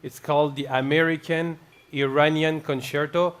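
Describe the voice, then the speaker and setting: A man from France with a French accent, doing stand-up with dry setups and deadpan punchlines. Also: a little high, normal speed, a little hiss or hum. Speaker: comedian and violinist. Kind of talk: stand-up comedy.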